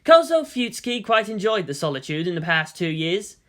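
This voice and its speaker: Someone narrating a story, really animated reading, pitch moves around a lot. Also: brisk. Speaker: audiobook-style reader of fan fiction. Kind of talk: expressive scripted narration.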